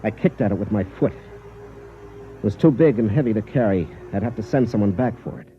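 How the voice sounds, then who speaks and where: A man's voice: lowish voice, moderate pace, slight background noise, lightly acted. An actor playing a weary, stubborn soldier on a colony world, narrating his story in plain, grim first person, in 1950s radio drama style. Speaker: narrator and lead character. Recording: dull old radio broadcast.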